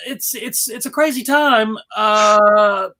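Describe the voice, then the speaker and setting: A woman's voice: medium pitch, takes it slowly, bright, forceful tone. A middle-aged woman moderating a casual panel discussion, talking in a chatty, informal way. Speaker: panel host.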